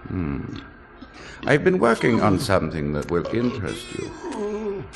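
An actor playing a grotesque, scholarly vampire lord, pompous and theatrical, proud of his ghastly experiments, with a gravelly voice. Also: medium pitch, huge pitch swings, a little slow, heavily acted. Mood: pompous curiosity. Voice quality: gravelly creaky voice. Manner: theatrical, pompous, florid wording.

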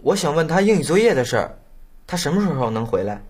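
An actor playing a polite schoolboy making phone calls in a scripted language-lesson skit. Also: male voice, a little high, very animated, quick pace, darkish tone, breathy edge. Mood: polite, earnest. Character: polite schoolboy phoning about forgotten homework.

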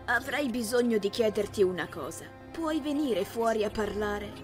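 A polite townswoman , played by a woman in an anime fan dub. Her voice is fairly high and deferential, with music clearly behind it. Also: moderate pace, close to an everyday voice. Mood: politely pleading. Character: polite local woman asking a favour.

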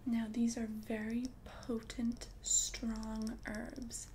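A woman voicing an ancient, confident witch in a whispered ASMR roleplay, speaking in a soft, breathy, deliberate and soothing way. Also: a little high, completely flat delivery, a little hiss or hum. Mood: calm, instructive. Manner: slow, deliberate, soothing, repeats words for emphasis. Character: ancient, confident witch brewing love potions.

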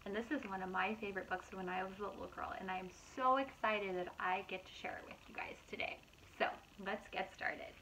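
A woman in her thirties, a preschool teacher, reads picture books aloud to young children in an expressive, animated manner with asides to her listeners. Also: mid-range voice, fairly quick, slight background noise, rough recording. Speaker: preschool teacher reading storytime.

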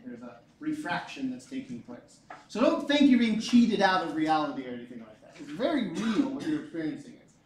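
A man in his forties teaching a physics class, lively and joking, repeating his key points. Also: sits high. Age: 40s. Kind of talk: classroom lecture. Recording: echoey room, mic far off.

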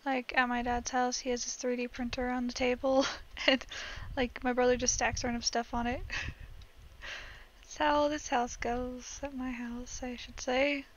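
Young woman, gaming vlogger, rambling chatty asides, voice cracking, unhurried, slightly muffled recording. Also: fairly high pitch, flat delivery. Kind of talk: casual build commentary.

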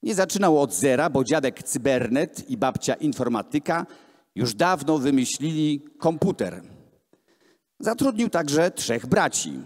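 A man reads children's stories aloud to a live gathering, speaking slowly and theatrically. His fairly high voice moves widely up and down. It is amplified through a handheld microphone in a reverberant gallery hall. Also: very animated. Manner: theatrical, deliberate storytelling with voiced dialogue. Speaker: reader at a gallery event. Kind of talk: expressive live reading of children's books. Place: large gallery hall with hard floor, indoors. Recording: echoey hall, amplified voice filmed from the room.

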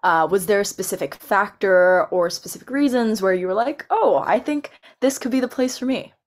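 A woman's voice: medium pitch, moderate pace. A young woman moderating a panel over a video call, giving friendly, organized introductions. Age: young adult. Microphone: laptop webcam on video call.

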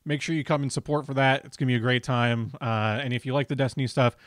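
A man's voice: medium pitch, steady tone, fast, dry recording. A young man chatting casually as a game streamer, reacting live from a bedroom on a close microphone. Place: bedroom, indoors. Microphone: close desk microphone with headset.

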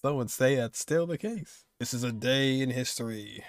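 A man's voice: mid-range voice, expressive, normal speed. A man in his twenties giving casual live reaction commentary as he streams a game. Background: game music.

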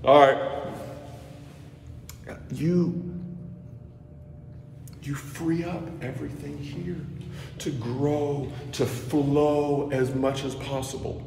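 A man in his thirties teaching a movement practice while filming himself on a phone in a stairwell, talking slowly and dropping to murmurs. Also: fairly high pitch, fairly even intonation, gravelly, a little mellow. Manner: slow, drawn-out, murmured asides, drops to near whisper. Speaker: movement instructor. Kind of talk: walking demonstration to phone camera. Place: indoor stairwell of a building. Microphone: phone camera held at arm's length. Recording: echoey stairwell with noisy ambience.

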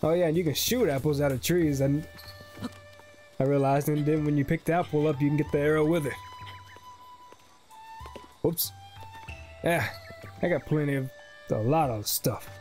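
A man's voice: fairly high pitch, moderate pace, long pauses, a little mellow. A young man giving casual let's play commentary in a rambling, jokey way. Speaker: gaming video host. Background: game music.

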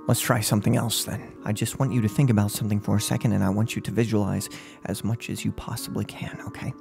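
A young man in his twenties playing a gloating, unhinged serial killer in an ASMR role-play, speaking close to the mic in a halting, mock-polite, menacing way. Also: on the low side, level tone, gravelly, music in the background. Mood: mock-polite menace. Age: 20s. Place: small furnished room at home. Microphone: close microphone on a boom arm.

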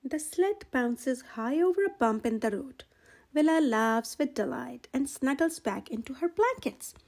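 A woman reading a children's picture book aloud in an expressive, gentle storytelling manner. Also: sits high, measured pace. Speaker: storyteller, channel host.

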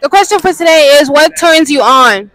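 A teenage girl with a very high voice runs quick interviews in a busy school hallway. She teases her classmates and speaks loudly, with a crowd murmuring behind. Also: expressive, low-quality recording. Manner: teasing, loud, laughs a lot. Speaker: street interviewer. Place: busy school courtyard and gym.

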